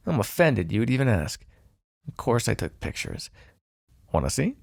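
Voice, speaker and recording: A man's voice: medium pitch, very animated, normal speed, creaky, no echo, studio quality. A man playing a smug, teasing vampire in an intimate ASMR roleplay, sardonic and flirtatious, possessive but fond. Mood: teasing, amused. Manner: sardonic, flirtatious, swearing, sighing.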